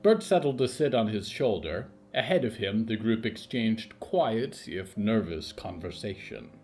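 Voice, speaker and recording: A man's voice: lowish voice, animated, a little slow, a little mellow, soft background music. A man narrating a scripted fiction story as its storyteller.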